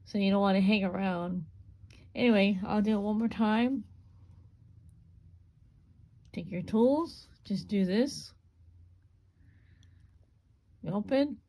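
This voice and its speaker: A woman with a gravelly, hoarse voice demonstrates a small craft step by step. Her talk is relaxed and unscripted, with plenty of repeats as she works. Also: mid-range voice, normal speed. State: voice going hoarse. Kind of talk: casual hands-on craft demonstration.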